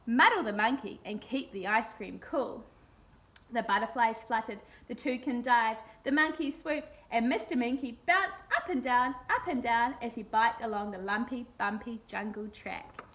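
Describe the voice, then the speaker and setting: High, bright-voiced woman reading a story. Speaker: picture book reader. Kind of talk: expressive children's story reading.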